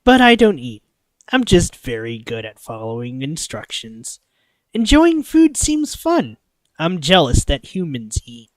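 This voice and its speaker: Streamer putting on a gentle, animated male automaton. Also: medium pitch, measured pace, no echo. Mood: wistful. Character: eager, caring male automaton host, wistful about humans.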